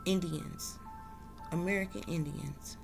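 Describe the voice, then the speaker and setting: Woman vlogger, low gravelly voice, unhurried and reflective. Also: music in the background. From South Carolina, US. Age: adult. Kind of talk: slow reflective monologue.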